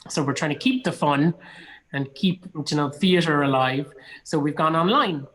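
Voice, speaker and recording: An Irishman in relaxed, informal conversation, talking with enthusiasm about things he enjoys. His voice sits in an ordinary range, and he comes across as chatty, like a guest on an online show. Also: fairly high pitch, moderate pace, poor audio. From Cork, Ireland. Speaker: guest, actor and theatre producer. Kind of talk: casual online chat. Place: indoors in a studio.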